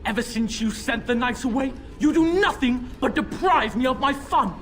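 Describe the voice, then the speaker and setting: A woman's voice: high voice, animated, normal speed, slight background noise. A cruel possessed boy. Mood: petulant, scornful. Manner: mocking, cruel, theatrical. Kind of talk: video game voice acting. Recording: game audio with music behind.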